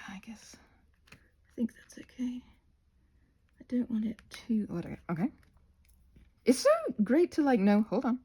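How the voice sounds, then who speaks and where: A woman's voice: on the high side, warm tone, husky. A woman hosting an informal craft tutorial, talking in a scattered, playful way and often interrupting herself with asides. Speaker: host of a craft tutorial channel.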